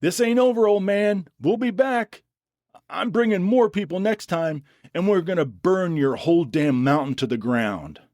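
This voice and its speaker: A man's voice: high-pitched, animated, normal speed, a little mellow, no echo, clean studio sound, pitched above the performer's own voice. A man voicing an enraged, vengeful man in an audio story, screaming belligerent threats. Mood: screaming rage.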